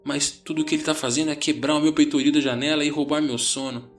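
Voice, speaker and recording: A man's voice: a little high, animated, quick pace, fairly bright tone, soft background music, voice pushed up. A man voicing a panicked, exasperated sixteen-year-old boy in a horror audio story, stammering and ranting.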